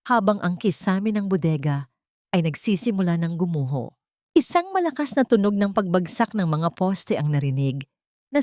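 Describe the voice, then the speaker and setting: A woman's voice: lowish voice, warm tone, no room sound, clean studio sound. A woman with a Filipino accent reading scripted, dramatic narration for a romance drama story.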